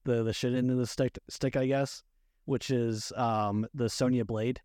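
A man co-hosting a podcast, chatting informally and haltingly about films and games. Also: medium pitch, fairly even intonation, a little mellow, dry recording, studio quality. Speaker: podcast co-host. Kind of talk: casual movie and game discussion.

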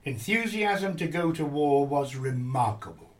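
A man's voice: a little high, animated, measured pace. A man reading scripted historical narration for a radio play.

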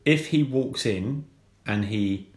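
Slow-talking man in an echoey room. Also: on the low side. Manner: laughs often, drawls and acts out phone calls. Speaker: podcast co-host. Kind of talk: comedic storytelling chat.

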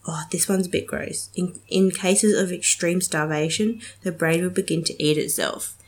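A woman's voice: on the low side, steady tone, normal speed. A young woman hosting a casual solo podcast, chatty and giggly with many ums, sounding slightly nasal from a cold. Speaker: podcast host.

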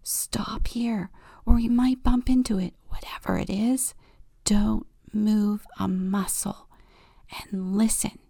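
Woman doing a bossy excitable goat. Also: mid-range voice, slow pace, gravelly, no room sound. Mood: hushed.

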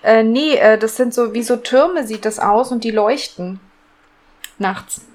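Female podcast participant, fairly high voice, casual chat, faint noise and clicks behind her. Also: a little slow, darkish tone.

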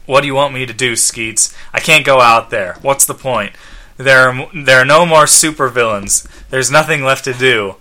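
A man performs a has-been comic book superhero who drinks and snaps at people. The delivery is loud-edged and very bright, curt, bitter and defensive, at a middling pitch. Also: normal speed. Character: bitter washed-up male superhero, drinking, gruffly irritable. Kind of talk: comic book read aloud.

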